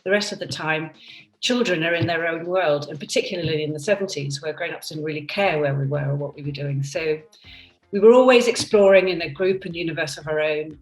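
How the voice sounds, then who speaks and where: Low-voiced woman in her fifties, thoughtful. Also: fairly quick. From Denmark and England. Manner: thoughtful, candid, with frequent restarts. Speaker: product designer interviewed. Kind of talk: reflective conversational interview. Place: home by the seaside. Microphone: computer on a video call. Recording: roomy, distant from the mic, soft music behind.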